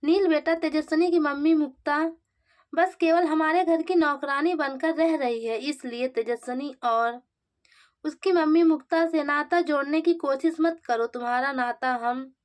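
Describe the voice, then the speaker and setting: High-pitched scornful older aunt, voiced by a narrator. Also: female voice, a little slow. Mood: pushy, scornful. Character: pushy, scornful older aunt arranging a marriage.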